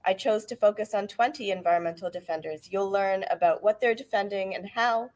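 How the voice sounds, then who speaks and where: A woman's voice: mid-range voice, darkish tone. A middle-aged Canadian woman, an author reading the introduction to her book aloud at a book event. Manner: measured, earnest reading. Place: bookfest event hall.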